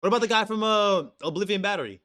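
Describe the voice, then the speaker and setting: Bright, loose young man streaming. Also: sits high, quick pace. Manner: casual, profane, reads chat aloud, restarts often. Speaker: stream co-host. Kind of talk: casual anime discussion stream.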